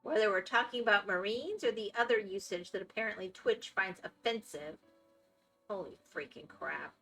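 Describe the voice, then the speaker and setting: Woman, Florida accent, chatty streamer, bright clear tone, faint music behind. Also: mid-range voice, moderate pace, rough recording. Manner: chatty, reacting to viewer chat. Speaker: live streamer. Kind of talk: casual chat while gaming.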